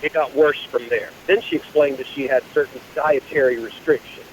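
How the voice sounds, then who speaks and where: A man's voice: mid-range voice, steady tone, normal speed. A man speaks casually over the telephone in a mid-range voice. He tells things dryly, with open exasperation. There is faint hiss on the line. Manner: dry, exasperated storytelling. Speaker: phone-in guest. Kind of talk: casual phone conversation.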